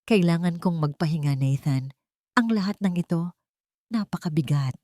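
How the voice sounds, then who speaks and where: A woman's voice: fairly low pitch, lively intonation, moderate pace, dark tone, no room sound, natural voice. Woman acting a resolute, sorrowful wronged wife. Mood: weary.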